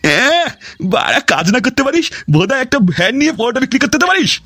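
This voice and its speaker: Grumpy cartoon dad, played by a man: extremely high voice, fast crude scolding, close dry sound. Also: big pitch swings, sharp tone, no room sound, full character voice. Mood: angry scolding. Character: grumpy foul-mouthed father berating his idle son. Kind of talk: exaggerated comic cartoon dialogue.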